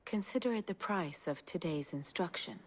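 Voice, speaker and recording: A woman playing a composed, wry scholarly strategist in a video game, speaking in a measured, eloquent, theatrical way. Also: on the low side, expressive. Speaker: strategist and lorekeeper. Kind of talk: dramatic video game acting, lecturing. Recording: music behind the voice.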